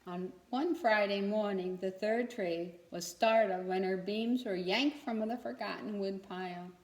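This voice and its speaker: A woman reading a children's story aloud expressively, as a storyteller who checks in with young listeners. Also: medium pitch. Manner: pauses to ask young listeners questions. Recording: echoey room.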